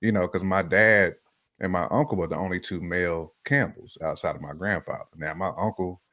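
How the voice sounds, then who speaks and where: A man's voice: low voice, fairly quick, sharp tone, no room sound. A middle-aged man in casual, colloquial conversation, giving his views as a panelist on a talk show. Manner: informal, colloquial, laughs while talking. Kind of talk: casual opinionated chat.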